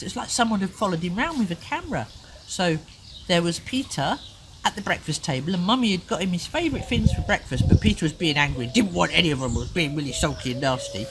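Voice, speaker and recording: Female children's storyteller: very lively intonation, chatty asides, informal bedtime style, noisy ambience. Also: on the low side. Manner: animated, chatty asides to the listener. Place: in a tent.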